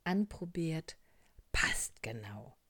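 A woman voicing a brisk, orderly, cheerful female hospital nurse for a children's storybook, with an instructive manner. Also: sits low, very animated, sharp tone, breathy edge, dry recording, pitched above the performer's own voice, full character voice.

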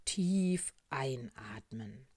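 A woman voicing a brisk, self-assured, formal male frog doctor with emphatic, clipped pronouncements in a children's storybook. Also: extremely low pitch, lively intonation, a little mellow, breathy edge, no echo, full character voice. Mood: commanding.

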